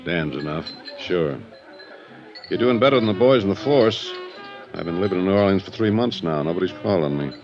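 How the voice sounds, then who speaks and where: Aging ex-bootlegger fresh from prison, low, musing, world-weary, wry, in muffled vintage radio drama. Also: male voice, lively intonation, brisk. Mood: wary, weary. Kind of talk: 1950s radio drama acting. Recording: muffled 1950s radio recording with music.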